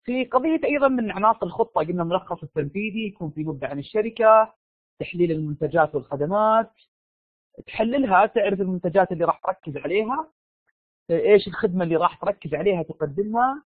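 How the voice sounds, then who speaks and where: A man's voice: sits high, animated, fast talker. A man with a Saudi accent and a tired, strained voice, lecturing a live online class informally, repeating phrases and prodding students to answer. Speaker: university lecturer. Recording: dull lo-fi online audio, roomy echo.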